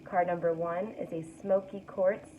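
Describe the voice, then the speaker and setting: A young woman with a raspy morning voice, giving a relaxed, soothing tarot card reading to camera as the host. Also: on the low side, moderate pace. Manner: relaxed, soothing, guides breathing. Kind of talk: casual spiritual reading to camera. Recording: tinny distant mic with faint noise.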